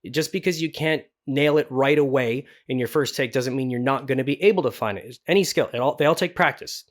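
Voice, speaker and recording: A man talking casually and encouragingly as a voice actor giving instruction, in a medium-pitched voice. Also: a little fast. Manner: encouraging, informal.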